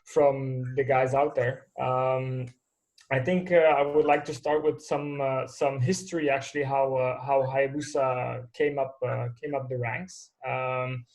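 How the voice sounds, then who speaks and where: A man's voice: medium pitch, a little mellow, poor audio. A man hosting a webinar on a video call, loose and full of fillers. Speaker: webinar host.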